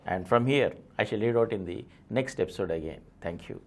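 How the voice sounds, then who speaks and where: A man's voice: lowish voice, brisk, very noisy. Indian-accented man reading a memoir. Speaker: audiobook reader, channel presenter.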